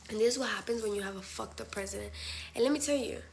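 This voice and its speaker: A woman's voice: on the high side, fast, gravelly, a little hiss or hum. Blunt young woman chatting on a livestream. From Spain. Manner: candid, blunt and profane; mixes in Spanish phrases. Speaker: livestream host. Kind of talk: casual live chat with viewers.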